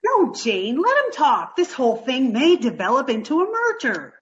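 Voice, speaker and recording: A woman's voice: sits high, huge pitch swings, moderate pace, slightly rough, dull audio, heavily acted. A woman playing a naive, scatterbrained, cheerful young woman in an old-time radio comedy. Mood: breezy, content. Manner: guileless, earnest, scatterbrained.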